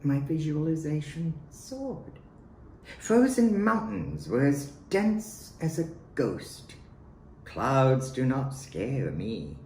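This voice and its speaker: A man recites his own poems at a slow, deliberate pace, with theatrical pauses between phrases. His pitch swoops widely from line to line. The room around him is echoey. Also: darkish tone. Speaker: poet reading own work. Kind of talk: dramatic poetry recitation. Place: at home, roomy indoor space. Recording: roomy echo, faint background noise.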